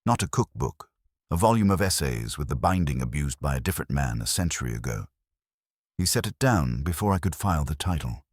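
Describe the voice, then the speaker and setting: A man's voice: a bass voice, big pitch swings, a little fast, no room sound, clean studio sound. A man narrating a scripted first-person detective story as an audiobook.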